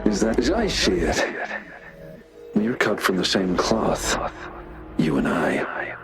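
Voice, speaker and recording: A man voicing a grim, embittered veteran general in a video game, slow and brooding, with loud music underneath. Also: low voice, wildly swooping pitch, full character voice. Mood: wry. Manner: slow, brooding, dramatic declamation.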